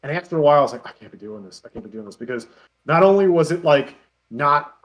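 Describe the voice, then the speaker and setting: Guy with kinda high voice telling animated self-deprecating stories, casual interview guest. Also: fast talker. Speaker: interview guest, web parody creator. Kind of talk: casual interview chat, anecdotes.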